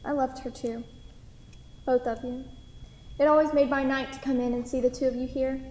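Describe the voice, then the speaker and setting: A woman acts out a kind, hesitant waitress in a staged play reading. Her voice is high. The room is echoey, with long hall reverb. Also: level tone, moderate pace, darkish tone, close to an everyday voice. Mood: hesitant, tender.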